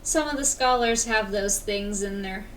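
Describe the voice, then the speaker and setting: A woman's voice: mid-range voice, unhurried, a bit bright, a little hiss or hum. A woman livestreaming a game with casual commentary. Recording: slightly distant mic, faint game music. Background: faint game music.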